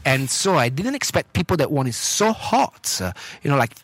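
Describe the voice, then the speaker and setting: An Italian man with an Italian accent, chatting in a relaxed way and leaning on fillers. He sits outside a cafe with traffic passing, recorded on a close, dry mic. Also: on the high side, normal speed, no echo. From Italy. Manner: relaxed, filler-heavy, laughs easily. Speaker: guest, tour manager. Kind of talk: casual interview chat.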